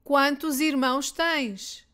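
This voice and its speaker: A woman with a European Portuguese accent drills beginner phrases, saying each one twice. Her voice sits high, and she speaks slowly and carefully, giving every word its space. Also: fairly bright tone. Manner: reads each line twice, clearly and deliberately. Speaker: Portuguese teacher. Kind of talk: slow repeated phrase drills for learners.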